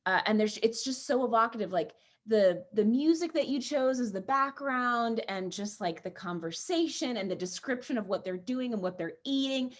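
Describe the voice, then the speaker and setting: A woman chatting casually in an animated, enthusiastic way. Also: on the high side, normal speed, hardly any pauses. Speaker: cast member of an audio drama. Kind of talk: casual chat.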